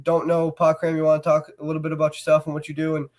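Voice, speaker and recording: A man with a cold and a stuffy voice, hosting a casual show and talking in a relaxed way with many fillers. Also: on the high side, racing. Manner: relaxed, filler-heavy, warm encouragement. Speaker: host.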